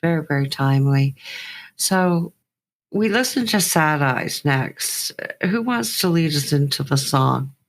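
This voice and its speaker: A woman's voice: sits low, lively intonation, measured pace. A woman hosting an interview show in a casual, conversational style.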